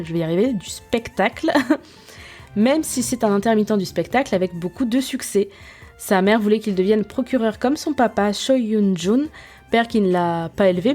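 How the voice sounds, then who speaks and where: Young woman podcast host, mellow tone, chatty and self-mocking, faint music behind. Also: mid-range voice. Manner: chatty, self-mocking, many fillers and restarts. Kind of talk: casual podcast review.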